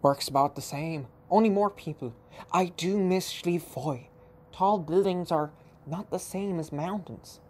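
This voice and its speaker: A woman's voice: lowish voice, unhurried, a little mellow, a little hiss or hum, natural voice. Woman playing a courteous young man. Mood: wistful. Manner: courteous, mild. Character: polite young Irish immigrant man, homesick newcomer. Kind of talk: radio play acting.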